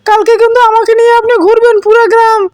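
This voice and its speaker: A woman's voice: extremely high pitch, monotone, racing, a little mellow, no room sound, clean studio sound. A woman voicing a cheerful, innocent little village girl with a Bangladeshi accent and childlike delivery in an amateur cartoon. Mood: playful.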